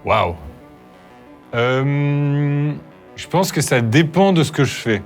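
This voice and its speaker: A man's voice: on the high side, expressive, slow pace, faint music behind. A man hosting his video channel, answering questions in a candid, hesitant way and sounding a bit nervous. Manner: hesitant, candid, many fillers and restarts. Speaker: youtuber host. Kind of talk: casual talk, answering interview questions.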